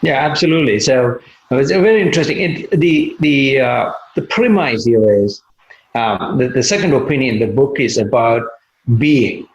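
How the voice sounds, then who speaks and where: A man from Sri Lanka, a cardiologist guest, explaining things in a measured way with many pauses and fillers. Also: medium pitch, animated, muffled recording. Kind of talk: interview answers.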